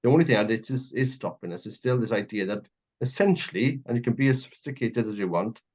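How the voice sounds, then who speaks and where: A man's voice: medium pitch, fast talker, a little mellow. A man with a Welsh accent hosting a conversational political interview, talking emphatically and at length in piled-up clauses. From Wales. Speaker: radio interview host. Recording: dull, muffled lo-fi audio.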